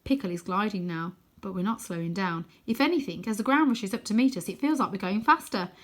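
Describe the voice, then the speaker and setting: A woman reading a children's novel aloud as expressive narration, with dramatic, animated emphasis. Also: medium pitch, fairly quick.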